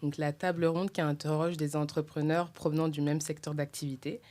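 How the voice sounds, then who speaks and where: A woman with a low voice hosts a roundtable conversation. She speaks in a halting way, stopping often to search for words. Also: level tone, dark tone. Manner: hesitant, many fillers and "donc". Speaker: podcast host. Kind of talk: hosting a roundtable podcast.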